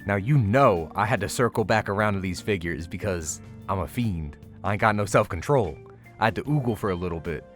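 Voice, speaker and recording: A man's voice: fairly low pitch, expressive, a little fast, faint music behind. A man narrating a convention vlog in a casual, slangy voice-over with wry, playfully exaggerated commentary. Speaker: vlogger narrating a convention walkthrough.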